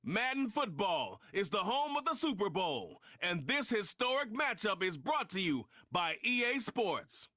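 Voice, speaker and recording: Bright, lively male promo announcer. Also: sits high, a little slow. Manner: punchy announcer delivery. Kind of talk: scripted broadcast promo.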